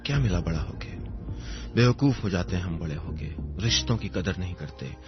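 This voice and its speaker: A man narrating a first-person story in a wistful, reflective manner, with poetic phrasing and pauses. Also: low-pitched, lively intonation. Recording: dull lo-fi audio, music underneath. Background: music.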